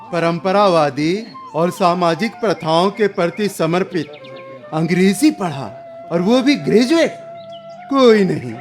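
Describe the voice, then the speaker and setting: An actor playing an older, opinionated village uncle in a radio drama, measured, wistful and moralizing. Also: male voice, high voice. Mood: earnest, admiring. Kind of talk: Hindi radio drama acting.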